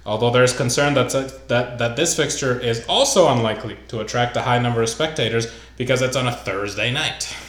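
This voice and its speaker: A man's voice: medium pitch, brisk, hardly any pauses, a bit bright, roomy sound. A man hosting a casual sports podcast, reading news items aloud with loose asides. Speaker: podcast host.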